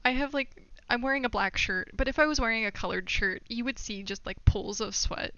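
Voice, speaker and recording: Bright high-voiced woman streamer, giddy and rambling. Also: a little fast, smooth, dry recording. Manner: giddy, giggly, rambling, self-deprecating. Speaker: live game streamer. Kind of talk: casual livestream chat. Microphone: budget desktop microphone. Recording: dull lo-fi streaming mic.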